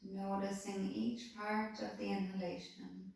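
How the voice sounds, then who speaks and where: A woman calmly leading a guided body-scan meditation, giving soothing, unhurried instructions. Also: mid-range voice, gravelly. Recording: very echoey room, voice distant from mic.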